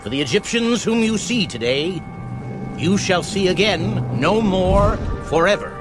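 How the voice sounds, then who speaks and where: An actor playing a steadfast, reassuring leader of his people in a dramatized audio Bible, with deliberate, declamatory delivery. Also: high voice, animated, over music. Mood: reassuring, resolute. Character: Moses, steadfast reassuring male leader of Israelites.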